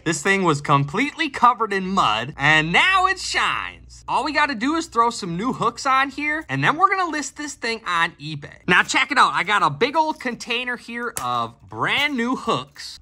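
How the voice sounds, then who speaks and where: A man's voice: sits high, bright, forceful tone. A young man hosting a casual, upbeat vlog indoors, chatting to viewers as "you guys" into a camera held at arm's length. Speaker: YouTube host. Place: indoor room of a farmhouse.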